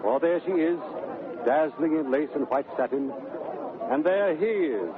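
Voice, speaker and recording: A man's voice: on the high side, expressive, unhurried, noisy background. A man narrating a radio drama in a dramatic, scripted 1930s storytelling style. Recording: tinny 1930s radio, music and crowd behind.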